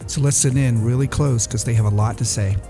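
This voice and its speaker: A man's voice: mid-range voice, fairly quick. A man hosting a podcast, chatting casually and easygoing, with plenty of fillers. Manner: relaxed, filler-heavy, friendly. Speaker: podcast host. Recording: music playing underneath.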